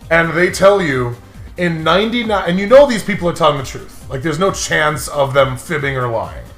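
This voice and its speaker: A man's voice: a little high, lively intonation, normal speed, a bit bright, reverberant, faint music behind. A man co-hosting a casual comedy chat, giggly and rambling as he spins absurd hypotheticals. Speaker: podcast co-host.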